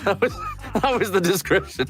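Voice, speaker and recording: A man's voice: sits high, expressive, a little fast, fairly bright tone, faint music behind. A man being interviewed as a voice actor, telling casual anecdotes in an amused, slightly hesitant way. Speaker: guest voice actor.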